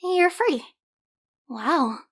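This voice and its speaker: High-voiced, playful young alien woman acted by a woman. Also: very slow, exaggerated voice. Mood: playful, gushing. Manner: teasing, expressive. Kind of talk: scripted fantasy audio roleplay acting.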